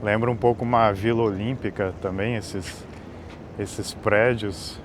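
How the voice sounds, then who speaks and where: A man's voice: lowish voice, steady tone, normal speed, a little hiss or hum. A man narrating his travel vlog in a casual, chatty way. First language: Portuguese. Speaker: travel vlogger.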